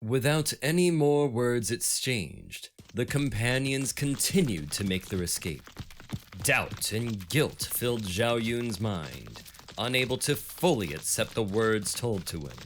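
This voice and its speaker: A man narrating a scripted dramatic story in a measured storytelling style. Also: mid-range voice, big pitch swings, a little hiss or hum.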